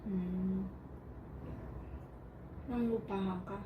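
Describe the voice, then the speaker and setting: A woman interviewer asking short, soft questions. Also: mid-range voice, fairly even intonation, slow pace, phone-quality sound. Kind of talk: personal interview. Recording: echoey room, distant mic, background noise.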